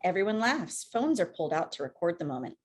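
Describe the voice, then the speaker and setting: Brief: female narrator, slightly low pitch, reads screenplay action lines on a video call. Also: big pitch swings, fast, breathy edge. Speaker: stage-direction narrator. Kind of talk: scripted narration at a table read.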